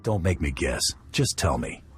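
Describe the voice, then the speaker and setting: An actor playing a curt, deadpan bounty hunter who trades threats with criminals in a game cutscene. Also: male voice, rumbling low, moderate pace. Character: gruff male bounty hunter, dry and threatening. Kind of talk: video game cutscene acting.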